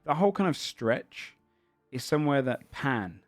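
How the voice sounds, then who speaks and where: A man's voice: medium pitch, very animated, normal speed, no room sound, faint music behind. A man narrating a tabletop game as game master, describing the scene in a searching, descriptive way.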